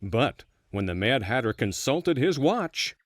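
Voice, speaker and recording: A storyteller narrating a bedtime fairy tale in an expressive, playful storybook manner. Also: mid-range voice, a little slow, no room sound.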